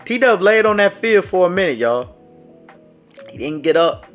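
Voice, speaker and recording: A man's voice: high voice, unhurried. A man telling a dramatic sports story in a casual storytime vlog, talking straight to his viewers. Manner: dramatic, animated, speaks to viewers directly. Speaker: storyteller vlogger. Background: faint background music.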